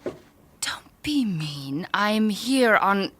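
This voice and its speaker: A slow, pompous drunk woman. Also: medium pitch, sing-song, fairly bright tone, full character voice. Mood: drunk, proud. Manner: slurred drunken, over-formal, deliberate. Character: very drunk woman official, pompously literal, proud and wobbly. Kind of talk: audio drama acting.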